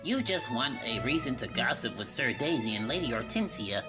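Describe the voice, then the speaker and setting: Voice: mid-range voice, very animated, normal speed, music in the background, pitched above the performer's own voice, lightly acted. A performer voicing a wry, chatty teenage boy cartoon prince in a casual, teasing manner.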